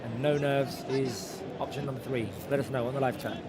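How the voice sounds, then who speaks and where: A man with a raspy voice hosting a live football watch-along, commentating and talking directly to viewers in a repetitive, animated way. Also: mid-range voice, brisk. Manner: animated, repeats himself, addresses viewers directly. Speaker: live match-reaction show host and commentator. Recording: loud background noise.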